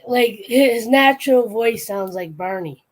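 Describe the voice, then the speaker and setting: Woman co-host, unhurried, rambling storyteller, casual chat. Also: fairly high pitch. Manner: rambling storyteller, trails off and restarts. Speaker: podcast co-host.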